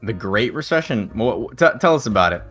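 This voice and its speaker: A man's voice: fairly low pitch, sing-song, a little fast, fairly bright tone, faint music behind. A young man co-hosting a podcast in a casual, conversational discussion. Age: young adult. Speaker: podcast co-host.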